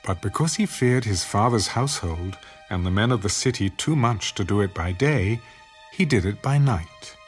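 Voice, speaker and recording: A man's voice: on the low side, lively intonation, moderate pace. A man narrating dramatized scripture from a script, as the storyteller between the characters' lines. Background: music.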